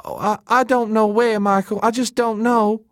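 Fragile, needy story character, female, played by a man; very high pitch, plaintive, excitable, dry close recording. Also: normal speed, no echo. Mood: tearful, plaintive. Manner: pleading, plaintive, repetitive. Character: fragile, weepy woman, needy and childishly excitable.

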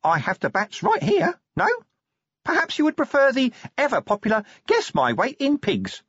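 A man voicing a small male imp living in a gadget, eager and pleading, with fast salesman-like chatter. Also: very high-pitched, wildly swooping pitch, fairly bright tone, slightly rough, no room sound, pitched above the performer's own voice, heavily acted. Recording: dry, slightly dull recording.

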